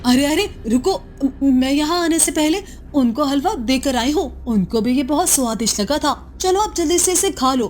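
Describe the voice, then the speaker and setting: A woman playing a scheming, cruel, jealous chieftain's wife in a cartoon moral story, theatrical and slyly coaxing. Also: sits high.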